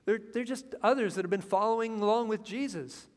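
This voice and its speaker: A man in his fifties preaching a sermon from a church pulpit, earnest and rising into excitement as he reads scripture. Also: sits high, a little fast. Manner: earnest preaching that swells with excitement, lines read from the Bible. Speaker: pastor. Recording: long hall reverb in a church.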